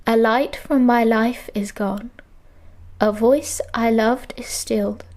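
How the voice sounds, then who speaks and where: A woman recites verse very slowly. Her voice is a little high. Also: steady tone, a little mellow.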